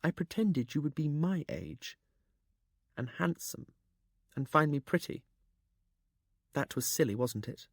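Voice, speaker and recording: A man's voice: animated, moderate pace, no room sound, clean studio sound. A man voicing a young woman who is grieving but trying to stay strong. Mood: wistful. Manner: high, trembling, pleading.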